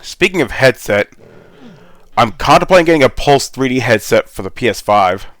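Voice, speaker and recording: A man's voice: mid-range voice, animated, moderate pace, fairly bright tone, dry recording. A congested man with a sore throat, rambling in a laid-back way as he hosts gameplay commentary over a headset mic. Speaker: gaming channel host.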